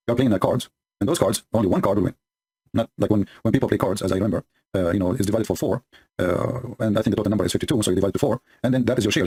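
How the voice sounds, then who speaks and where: Husky sped-up man, mocking. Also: lowish voice, a little mellow. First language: Arabic. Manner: sarcastic and mocking, broken English grammar. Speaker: religious polemic commentator. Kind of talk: commentary reading and mocking scripture. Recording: sped up to double speed.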